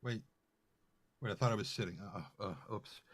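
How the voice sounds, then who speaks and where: A man's voice: on the low side, moderate pace, slightly rough, no room sound. A man playing an anxious, confused, stammering widower in an old-time radio horror play. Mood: brooding, confused. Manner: stammering, theatrical.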